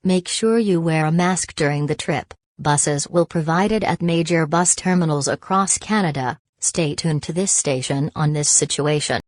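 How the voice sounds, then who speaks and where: A woman's voice: low-pitched, normal speed, hardly any pauses, dry recording. A woman reading a scripted evacuation notice as a formal, instructional emergency announcer.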